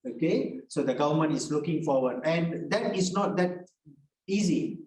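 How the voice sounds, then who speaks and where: A man's voice: a little high, animated, normal speed, a little mellow. A man answering on a panel, talking conversationally and hesitantly with many fillers. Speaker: panel speaker. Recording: echoey, distant video call audio.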